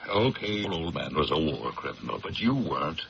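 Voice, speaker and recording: A man's voice: rumbling low, very animated, moderate pace, gravelly, exaggerated voice. A man playing a breezy, unflappable political associate in a 1970s radio drama, informal and chummy. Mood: calming. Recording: muffled, noisy 1970s radio recording.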